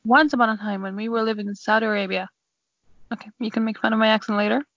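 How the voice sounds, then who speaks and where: A woman's voice: a little high, fairly even intonation, quick pace, fairly bright tone, no room sound. A young woman chatting casually on her sister's podcast, rambling and a little self-conscious. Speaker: podcast guest. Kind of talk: casual sibling podcast chat. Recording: dry, dull lo-fi audio.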